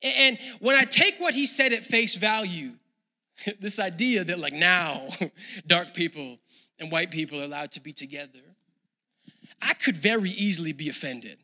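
Bright, high-voiced male pastor preaching. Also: normal speed. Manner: conversational, building to loud emphatic preaching. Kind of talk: sermon.

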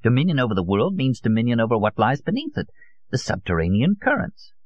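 A man's voice: medium pitch, very animated, fast, no room sound, pitched above the performer's own voice. A man voicing a fervent elderly conspiracy theorist in an audiobook, emphatic and rhetorical, holding forth in rambling monologue. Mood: insistent. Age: older. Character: elderly Russian male conspiracy theorist, fervent, nostalgic taxidermist. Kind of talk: dramatised audiobook character. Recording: dull, muffled lo-fi audio.

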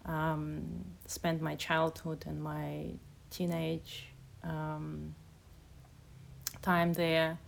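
A woman poet and translator from Kazakhstan, speaking in a measured, hesitant way as she talks and reads. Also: low-pitched, completely flat delivery, vocal fry, a little hiss or hum. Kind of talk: casual conversation and poetry reading.

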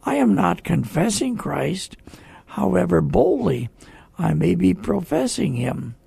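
Male host-preacher, high-pitched and heavily rasping, emphatic conversational delivery, dry close sound. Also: big pitch swings, a little slow, warm tone, no echo. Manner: emphatic, spells out and repeats key words.

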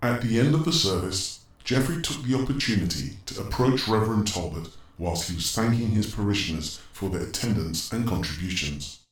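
A man's voice: on the low side, expressive, normal speed, natural voice. A man narrating an audio drama as a scripted storyteller. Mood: measured. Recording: very echoey, distant from microphone.

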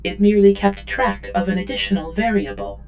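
Woman playing a creaky-voiced submissive android drone. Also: mid-range voice, fairly even intonation, fairly quick, darkish tone, faint music behind, close to an everyday voice. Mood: earnest, literal. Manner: formal, deferential, literal, third-person self-reference. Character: submissive, eager-to-please female android drone. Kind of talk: audio drama acting.

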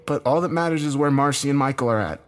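A man's voice: medium pitch, fast, voice pushed up, lightly acted. A man playing a distraught, confrontational husband and father in a dramatic story, insistent and questioning.

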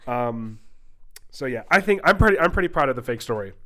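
A man's voice: mid-range voice, expressive, fairly quick, pushed and bright. Young man hosting a podcast with a cold. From United States. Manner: rambling, restarts sentences often. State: stuffy nose from a cold. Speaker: podcast host. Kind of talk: casual podcast chat.

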